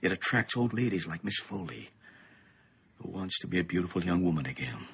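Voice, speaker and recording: An actor playing a weary middle-aged, bookish father in a radio play, grave and thoughtful, with a husky voice and a measured, ominous way of explaining. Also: deep voice, lively intonation, a bit bright, full character voice. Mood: brooding. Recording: dull, muffled lo-fi radio play.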